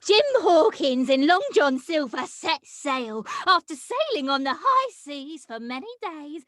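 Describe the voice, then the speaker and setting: A woman voicing a prim, patient cartoon bug who tells stories in a measured, dramatic way. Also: sits high, very animated, a bit bright, dry recording, clean studio sound, full character voice. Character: prim, patient bug storyteller with a slimy tail. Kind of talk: animation table read.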